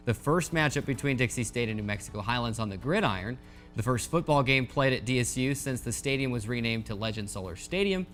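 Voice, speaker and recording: A man hosting a sports show, reading a scripted recap of game scores and highlights.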